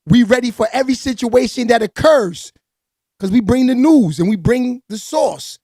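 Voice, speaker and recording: A raspy-voiced man hosting a live entertainment news stream, giving hyped, slangy hip-hop gossip commentary with lots of shout-outs to viewers. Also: very high-pitched, expressive, moderate pace, no echo.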